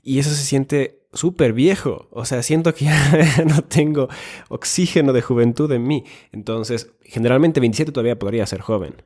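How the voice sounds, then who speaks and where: A man's voice: mid-range voice, normal speed, darkish tone. A man in his twenties from Finland, co-hosting a casual podcast chat with dry, self-mocking humor. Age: 20s. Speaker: podcast co-host.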